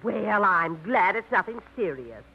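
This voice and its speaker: An actress playing a prim, easily shocked older spinster in a 1950s radio play, old dull broadcast sound with faint hiss. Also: female voice, medium pitch, sing-song, measured pace, breathy edge, exaggerated voice. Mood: gossipy. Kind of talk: 1950s radio drama acting.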